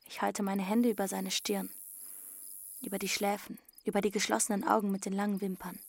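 A woman's voice: medium pitch, flat delivery, fairly quick, creaky, no room sound. A brooding, dreamy runaway teenage girl. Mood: hushed, tender. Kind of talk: first-person radio drama narration with dialogue.

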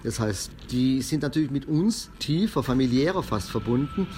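A man's voice: medium pitch, normal speed, slight background noise. A man, a street football league founder, talking casually in an interview and tagging sentences with "ne". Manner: relaxed, chatty, tags sentences with "ne".

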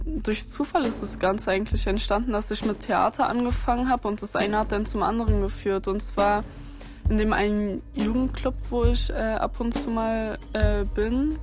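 A young woman from Berlin speaks in an even, level voice with little rise or fall, her pitch sitting mid-range. She talks calmly and reflectively, telling her own story for a documentary. Clear music plays underneath her voice throughout. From Germany, Berlin. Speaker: interviewee. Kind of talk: personal documentary interview.

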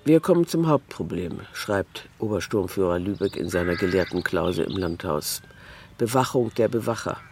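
A man's voice: low-pitched, expressive, normal speed, music in the background. A man reading a scripted literary documentary narration in a sober, factual manner. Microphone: close studio microphone, dry.

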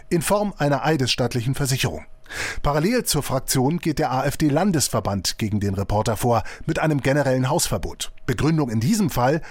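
A man's voice: mid-range voice, dry recording. A man, the head of a newsroom, reading a scripted news report in a firm, matter-of-fact way. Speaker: editor-in-chief of a newsroom.

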